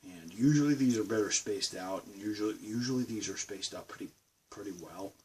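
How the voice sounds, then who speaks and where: A man's voice: fairly low pitch, a little fast, recorded from a distance, slight background noise, poor audio. A man with a Boston accent rambling casually to his camera from his bedroom studio. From Massachusetts, USA. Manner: rambling, meandering talk. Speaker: vlogger.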